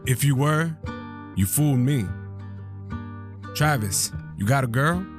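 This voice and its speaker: Proud, tender middle-aged dad played by a man, medicated yet earnest and deliberate, audio drama, guitar clearly behind. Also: mid-range voice, expressive. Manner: earnest, deliberate advice-giving. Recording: guitar music under the voice.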